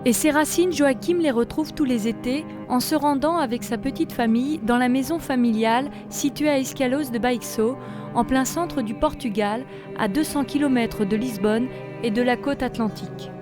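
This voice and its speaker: High-voiced woman narrating over music. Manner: even, measured reading. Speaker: documentary narrator. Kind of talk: scripted narration.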